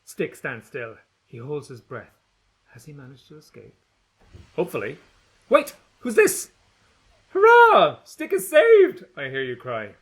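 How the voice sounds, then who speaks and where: A man's voice: mid-range voice, very animated, moderate pace, long pauses, a bit bright, slightly rough. A man narrating a funny children's book in a playful, jokey way. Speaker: audiobook narrator, author.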